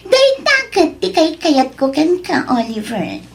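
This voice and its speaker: A woman playing a demanding, selfish mistress in an Ilocano radio drama, coaxing and haughty, quick to snap. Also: high voice, big pitch swings, vocal fry, full character voice.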